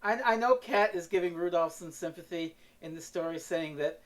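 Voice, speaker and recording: A woman reviewer retelling a story in casual, animated, sweary chat. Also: lowish voice, normal speed. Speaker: podcast reviewer.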